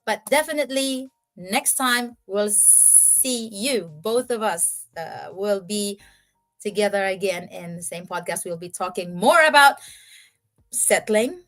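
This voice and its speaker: A woman's voice: mid-range voice, a little slow, fairly bright tone, faint music behind. A middle-aged woman from the Philippines, a native Ilonggo speaker, hosting a podcast and giving earnest advice with some hesitant fillers. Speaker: podcast host. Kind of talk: casual podcast chat and closing remarks.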